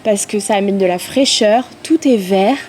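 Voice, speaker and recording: A woman's voice: medium pitch, normal speed, a little mellow. A woman chatting casually in a travel vlog, talkative and self-mocking, with hesitant fillers, recorded outdoors on a camera mic. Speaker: vlogger. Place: outdoors in hot weather.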